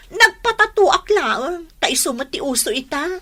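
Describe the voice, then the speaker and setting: A woman's voice: high-pitched, sing-song, moderate pace, bright, forceful tone, exaggerated voice. An actress playing a spoiled, vain young girlfriend in a radio drama, sugary and pouty, coaxing with endearments in an Ilocano accent. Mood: gushing. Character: spoiled, vain young girlfriend who sweetly wheedles and demands.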